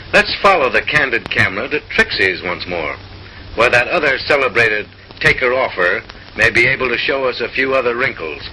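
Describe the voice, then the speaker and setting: A man's voice: fairly low pitch, pushed and bright, a little hiss or hum, low-quality recording. A man narrating in a mid-century comic newsreel style, with an arch, mock-refined, theatrical delivery, on a vintage film soundtrack.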